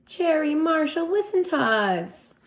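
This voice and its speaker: A woman voicing a smooth, rich teenage boy who speaks in slow, drawn-out pleas. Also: high voice, very animated, pitched above the performer's own voice, full character voice. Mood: pleading.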